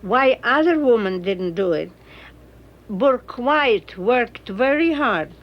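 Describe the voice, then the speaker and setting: A woman photojournalist reflecting in a documentary interview, with halting, non-native phrasing. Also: fairly high pitch, lively intonation, highs cut off.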